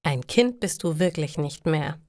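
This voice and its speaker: A woman voicing a caring mother who gently advises her grown daughter in slow, reassuring storybook dialogue. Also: low voice, expressive, no room sound, clean studio sound.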